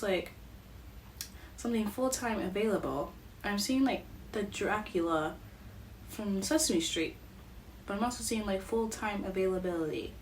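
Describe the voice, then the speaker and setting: A young woman giving a casual psychic reading to her viewers, musing and dreamy, with soft giggles. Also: medium pitch, slight background noise, poor audio. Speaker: psychic reader channeling messages. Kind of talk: casual spiritual reading to camera.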